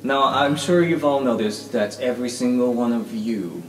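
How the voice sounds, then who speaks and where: A man's voice: mid-range voice, normal speed, echoey room, far from the mic, soft background music, rough recording. A man voicing a suave villainous mentalist who addresses captive students in a grandiose, formal way, in a tabletop roleplay podcast. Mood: smooth, pompous.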